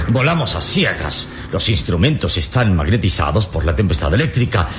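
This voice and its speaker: Heavy rumbling noise surrounds a heroic adventurer in a radio serial. He speaks low and formally, with measured command, calm as a leader at the controls of a plane. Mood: urgent, commanding. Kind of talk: old-fashioned radio serial acting. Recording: very noisy, storm and engine rumble.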